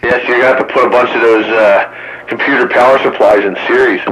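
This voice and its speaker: A man's voice: medium pitch, steady tone, fast, fairly bright tone, low-quality recording. Man chatting on CB, faint hiss. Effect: CB radio transmission. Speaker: radio hobbyist on a CB net. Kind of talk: casual radio chat. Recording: light CB radio background hiss.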